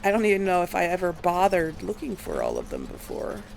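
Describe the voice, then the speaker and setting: A woman's voice: on the low side, wildly swooping pitch, fairly quick, vocal fry, noisy background. A woman doing casual video game commentary, musing aloud and questioning herself as she plays. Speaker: let's play host.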